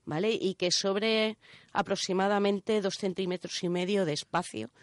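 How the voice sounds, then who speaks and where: A woman with an accent from Spain chats in a dry studio sound at an average pitch. She explains in a casual, step-by-step way, tossing in little check-in questions as a radio contributor would. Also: moderate pace, no room sound. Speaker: radio show contributor presenting a section.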